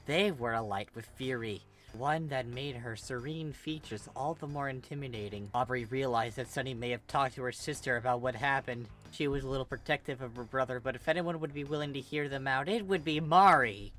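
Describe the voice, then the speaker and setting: An amateur reader narrating a fan fiction story in a dramatic, animated way. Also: mid-range voice.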